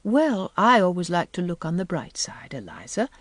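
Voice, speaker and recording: Woman acting a breathy, timid, kindly optimist. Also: fairly low pitch, wildly swooping pitch, moderate pace, no echo, clean studio sound. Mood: gently protesting. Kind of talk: audiobook character voice.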